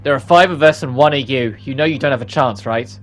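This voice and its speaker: A man's voice: medium pitch, fairly quick, soft background music, close to an everyday voice. Man reading as a brash, hot-tempered witch girl. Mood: confrontational. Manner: brash, confrontational. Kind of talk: reading game cutscene dialogue.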